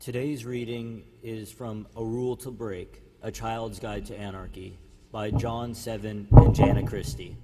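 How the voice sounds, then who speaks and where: A man's voice: lowish voice, fairly even intonation, measured pace. A teenage young man reading a children's book pledge aloud at a church service. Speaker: youth reader at a church service. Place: large indoor church sanctuary. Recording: echoey church hall, faint hum.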